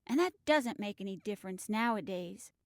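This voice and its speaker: A woman's voice: medium pitch, lively intonation, moderate pace, no echo, close to an everyday voice. An actress playing a guarded, defiant teenage girl in an audio drama, with a terse, defensive manner.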